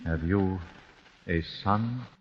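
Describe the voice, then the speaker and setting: A cold vengeful father, low-voiced. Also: heavy background noise. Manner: measured, menacing, precise. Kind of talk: 1940s radio acting. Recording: dull 1940s radio broadcast.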